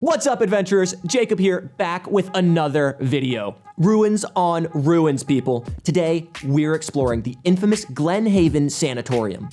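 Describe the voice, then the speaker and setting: A cocky urban explorer played by a man fronts his YouTube intro with showy hype. His voice is brash and sits fairly high for a man. Faint music plays underneath. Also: lively intonation, moderate pace, hardly any pauses, darkish tone, close to an everyday voice. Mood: hyped, cocky. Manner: hyped, showy. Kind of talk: YouTube video intro in an audio drama.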